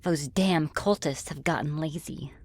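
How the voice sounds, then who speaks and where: A woman's voice: low-pitched, a little slow, no room sound. A woman voicing a friendly, teasing female demon in an ASMR roleplay, with casual, politely menacing delivery. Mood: relaxed, curious. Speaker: roleplay voice performer. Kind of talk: ASMR roleplay, scripted one-sided dialogue.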